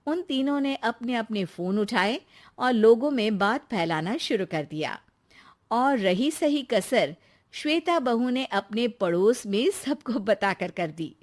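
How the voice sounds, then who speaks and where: A woman's voice: fairly high pitch, lively intonation, takes it slowly. A woman reading scripted story narration.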